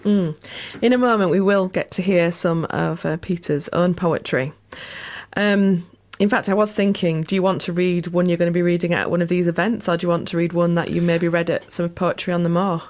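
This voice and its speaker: A woman's voice: fairly low pitch, fairly even intonation, fairly quick, a little mellow. A woman hosting a conversational radio interview and chatting with her guest.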